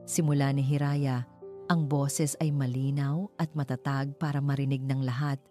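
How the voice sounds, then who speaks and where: Woman storyteller, pretty low soft-edged mellow voice, measured dramatic read of a love story, bit of music behind. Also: little pitch movement. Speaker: story narrator. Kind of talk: scripted narration of an inspirational love story. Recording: music under the voice. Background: background music.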